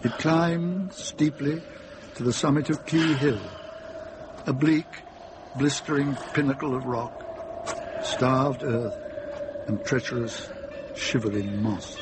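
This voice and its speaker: An actor narrating a medieval mystery radio drama as a solemn storyteller, in a measured, literary, atmospheric manner. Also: male voice, mid-range voice, background noise, lightly acted. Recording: loud music under narration. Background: loud music.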